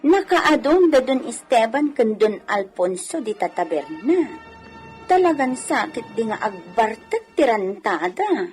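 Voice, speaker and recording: An Ilocano-accented woman acting a sharp-tongued, scornful villager in a radio comedy, scolding and taunting. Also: fairly high pitch, very animated, measured pace, faint music behind. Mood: disdainful. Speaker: actress in a radio comedy drama.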